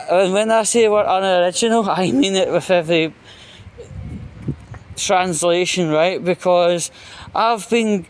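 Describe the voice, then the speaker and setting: High-voiced Scottish man, slow and blunt. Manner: blunt, sweary, aggrieved, halting phrasing. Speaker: vlogger. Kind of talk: outdoor rant to camera, casual monologue. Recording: clear traffic and outdoor noise.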